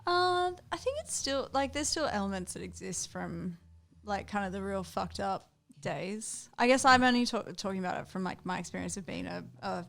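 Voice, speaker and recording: A woman's voice: medium pitch, expressive, normal speed, vocal fry, fairly bright tone. A woman in her thirties with an Australian accent, a musician in casual conversation, chatty and full of fillers. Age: 30s. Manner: chatty, filler-heavy, lots of "like". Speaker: musician guest. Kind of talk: casual chat.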